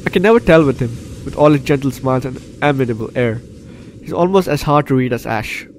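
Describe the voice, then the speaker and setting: A man's voice: mid-range voice, expressive, a little fast, darkish tone, a little hiss or hum. Jokey male gamer, sick with flu. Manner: jokey, repeats phrases, banters with characters. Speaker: gaming YouTuber. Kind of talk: let's play commentary, reading game text aloud.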